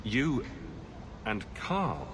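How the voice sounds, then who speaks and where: A man's voice: medium pitch, huge pitch swings, drawn-out words, exaggerated voice. A man playing a wry, confident adventurer hero in a video game, with a dry, lightly teasing delivery. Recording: clearly noisy game audio background.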